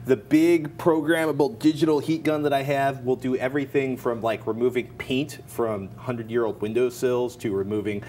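A man's voice: medium pitch, steady tone, normal speed, hardly any pauses, a little mellow, a little hiss or hum. A man in his forties with an American accent, talking casually and emphatically as a show co-host. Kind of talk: casual explaining and sponsor reads. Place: TV studio at a desk.

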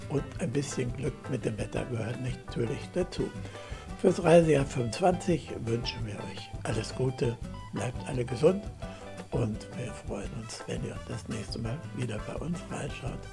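A man's voice: mid-range voice, moderate pace, gravelly, music in the background. An older man from Germany, the host of a camping travel vlog, narrating a voice-over in a friendly, chatty way full of recommendations.

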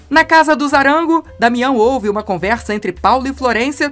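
A woman reads a scripted episode recap in a steady, even voice that sits on the high side. Soft music runs faintly beneath her voice-over. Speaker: narrator of soap opera recaps.

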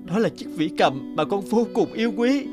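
A man's voice: high-pitched, animated, normal speed, music in the background. An actor playing a devoted, polite young male violinist in a cartoon. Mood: earnest. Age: young adult. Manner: earnest, polite.

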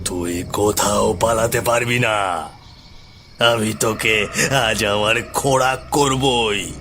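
A man voicing a menacing, vengeful beggar ghost with a harsh growl and drawn-out, taunting threats in a cartoon horror story. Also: on the low side, fairly even intonation, bright, forceful tone, a little hiss or hum, exaggerated voice.

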